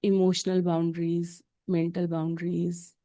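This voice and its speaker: Casting: woman meditation guide, very slow pace, soft-edged mellow tone, calm and deliberate. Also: fairly low pitch. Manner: calm, deliberate, with pauses between short phrases. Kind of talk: guided meditation, slow instructions in short phrases.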